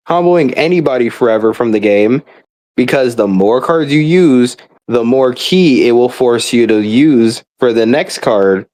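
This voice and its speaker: A man's voice: medium pitch, darkish tone. A young man rambling casually in an exasperated, emphatic way, swearing often as he complains like a gamer venting. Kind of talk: casual rambling rant about a video game.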